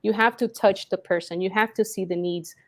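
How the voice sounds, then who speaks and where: Mid-pitched woman from Colombia, earnest. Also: fairly even intonation, a little fast. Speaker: guest, marketing company CEO. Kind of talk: personal story told in interview.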